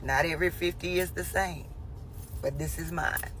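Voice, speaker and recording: A woman's voice: deep voice, fairly even intonation, normal speed, fairly bright tone, a little hiss or hum. A woman in her late forties chatting animatedly in a casual selfie video. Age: 40s.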